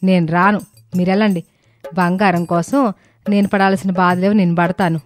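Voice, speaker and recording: A woman's voice: lowish voice, fairly even intonation, normal speed, soft background music, lightly acted. Woman acting a greedy, scheming mother-in-law. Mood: pleading, stubborn. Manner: insistent, dramatic, argumentative. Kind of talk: dramatised moral story acting.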